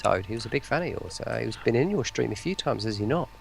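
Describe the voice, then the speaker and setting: A man's voice: mid-range voice, lively intonation, fast, creaky, breathy edge. A man talking casually as a guest on a livestream group call. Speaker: stream guest. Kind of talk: casual chat.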